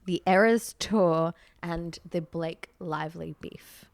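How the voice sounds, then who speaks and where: A woman's voice: lowish voice, slow, vocal fry, no room sound. A woman hosting a podcast in casual, comedic chat with playful, informal banter. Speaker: podcast host.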